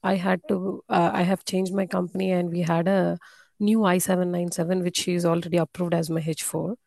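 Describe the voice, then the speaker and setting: A woman's voice: fairly low pitch, level tone, fairly quick, vocal fry, darkish tone, no room sound. A woman calling in with a question about her daughter, speaking hesitantly with many fillers. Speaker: caller, a parent. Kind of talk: phone call question. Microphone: conference call line.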